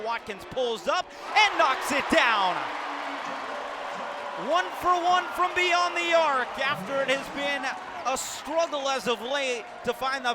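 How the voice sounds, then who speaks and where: A man calling live basketball play-by-play for radio in clipped, vivid phrases that swell on big plays, as the announcer. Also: very high voice. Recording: loud arena noise behind. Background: basketball game in an arena, bouncing ball.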